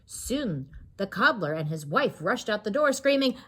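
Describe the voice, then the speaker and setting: A woman with a husky voice reading a children's story aloud expressively, pausing to explain and ask questions. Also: mid-range voice. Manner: expressive, explains and asks questions to young listeners. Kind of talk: animated read-aloud of a children's story with asides.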